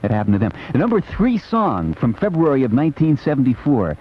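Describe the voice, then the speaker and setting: A man's voice: medium pitch, huge pitch swings, fairly quick. An American man hosting a late-night 1970s music radio show, chatting in a casual, playful, rambling way. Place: radio studio. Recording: dull, noisy 1970s AM radio aircheck.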